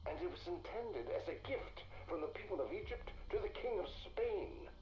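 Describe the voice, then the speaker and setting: An actor playing an older, shrewd and erudite gentleman scholar, speaking in a measured, wry, theatrical and often hushed way in a period game audio log. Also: high voice, sing-song, highs cut off, soft background music. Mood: dry, wry. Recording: roomy audio log with faint noise.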